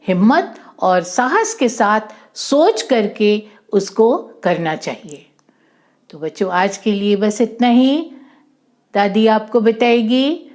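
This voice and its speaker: Female children's storyteller, higher-pitched and animated, unhurried, instructive, informal delivery with English words mixed in. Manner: didactic, repeats and rephrases, mixes in English words.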